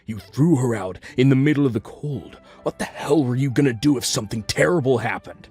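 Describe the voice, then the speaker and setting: A man's voice: medium pitch, fairly quick, a little mellow, natural voice. A man voicing an earnest, protective young husband in a dubbed comic, speaking with emotion. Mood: angry, firm. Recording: music behind the voice.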